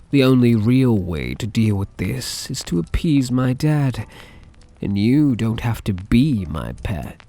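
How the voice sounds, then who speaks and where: A man playing a soft, lovesick young mafia heir in an ASMR roleplay, speaking in a hesitant, tender, halting way. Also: fairly low pitch, heavily acted. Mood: hesitant, coaxing.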